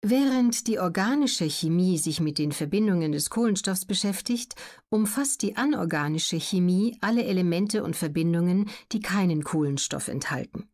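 Measured woman narrating an audiobook. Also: fairly low pitch, animated, darkish tone. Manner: measured, clearly articulated, factual. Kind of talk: scripted educational narration.

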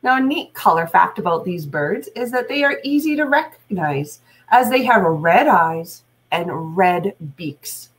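A middle-aged woman teacher reading aloud to children, deliberate, adding explanations and questions for young listeners. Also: lowish voice, lively intonation. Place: small room at home, indoors.

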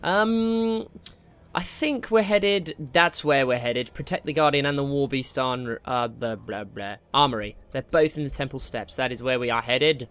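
A man's voice: medium pitch, unhurried, fairly bright tone, clean tone. A man hosting a gaming video with casual Let's Play commentary, talking to himself in jokey, slangy asides. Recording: game music behind the voice. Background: video game music.

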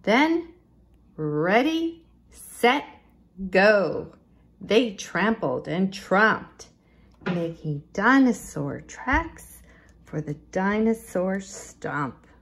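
A woman reading a children's picture book aloud as a storytime librarian, expressive and deliberate, leaning into the rhymes and rhythm. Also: medium pitch, a little hiss or hum.

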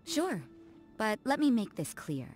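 A woman's voice: a little high, sing-song, a little slow, a little mellow. A woman voicing a cool, world-weary young nun in an English video game, speaking in a dry, detached, languid way. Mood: aloof, wry. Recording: game music under the voice.

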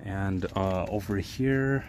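Brief: low-voiced man, slow hands-on DIY explainer, phone mic, steady background noise. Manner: unhurried, many ums and uhs, hands-on explaining. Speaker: DIY repair vlogger. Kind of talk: casual how-to walkthrough. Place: indoors, cramped space under a sink. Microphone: handheld phone camera, first-person view.

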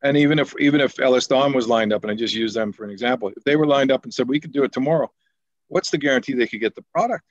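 A man's voice: mid-range voice, rapid-fire, no echo. Earnest Canadian man on a video call. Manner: rambling, repeats words, earnest. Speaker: deputy mayor at a council meeting. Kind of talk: council meeting remarks. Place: home office on a video call. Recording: slightly dull, dry video call audio.

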